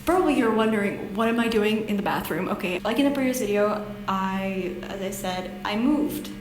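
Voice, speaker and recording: A woman's voice: medium pitch, expressive, normal speed. A young woman whose first language is Hungarian, chatting casually to camera in a self-deprecating, rambling way with lots of laughter, recorded in a small bathroom. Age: young adult. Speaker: language-learning vlogger. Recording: echoey bathroom with noisy background.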